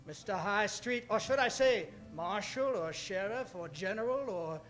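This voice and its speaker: An actor playing an excitable, folksy, florid Old West town doctor in a radio comedy. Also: male voice, high voice, unhurried, faint music behind. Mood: flattering, eager.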